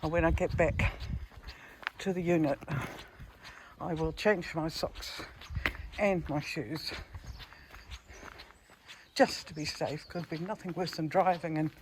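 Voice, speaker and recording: A man's voice: a little high, fairly even intonation, slow, long pauses. A man from New Zealand chatting casually to camera as a travel vlogger on a walk, musing aloud in short phrases. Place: outdoors at a beach. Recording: outdoor noise, faint clicks.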